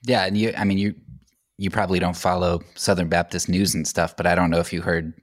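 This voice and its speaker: A man's voice: low-pitched, flat delivery, fairly quick, no echo, studio quality. An American man speaking as a podcast guest, explaining things in a casual, conversational and anecdotal way. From United States.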